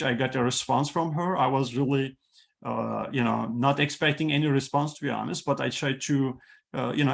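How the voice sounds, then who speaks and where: Gravelly man with a Turkish background hosting. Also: medium pitch. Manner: halting, many fillers and restarts. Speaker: host, institute president. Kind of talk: welcoming talk on a video call. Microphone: video call. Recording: video call audio.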